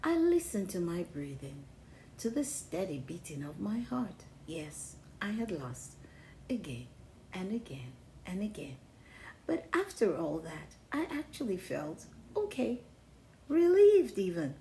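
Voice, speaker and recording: A woman's voice: lowish voice, big pitch swings, unhurried, a little hiss or hum, pitched below the performer's own voice. A woman voicing a boastful, dramatic wheel of cheese in a children's storybook, with a theatrical, exaggerated delivery. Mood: calm, humbled. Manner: theatrical, exaggerated, wailing to hushed. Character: boastful, dramatic wheel of cheddar learning humility. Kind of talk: first-person children's storybook read-aloud.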